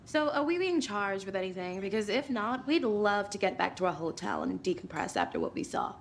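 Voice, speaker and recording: A woman's voice: medium pitch, sing-song, brisk, gravelly, a little hiss or hum. An actress playing a wry, frazzled female tourist. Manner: wry, sarcastic.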